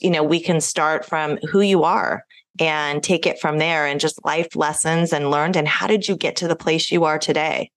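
A woman's voice: sits low, no room sound. A woman hosting a career podcast, talking enthusiastically with her guest. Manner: enthusiastic, talkative. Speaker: podcast host. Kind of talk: podcast interview.

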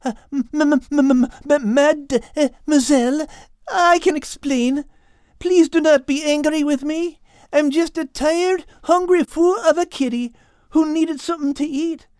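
A foolish storybook tomcat in a high voice. He is meek and groveling, prone to stammering and pleading. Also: moderate pace, darkish tone, dry recording, studio quality. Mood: remorseful, fearful.

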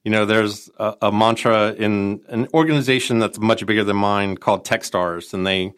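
A man's voice: fairly low pitch, normal speed, smooth. A middle-aged man with a nasal Chicago accent, chatting as an interview guest, rambling with folksy asides and frequent self-corrections. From Minot, North Dakota. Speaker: guest, nonprofit entrepreneur advisor. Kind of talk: conversational interview.

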